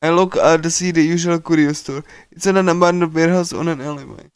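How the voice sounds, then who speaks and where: Male game streamer, halting casual read-aloud, smooth slightly high voice, close dry sound. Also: steady tone, no echo. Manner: hesitant, halting, with small chuckles. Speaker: streamer reading a visual novel aloud.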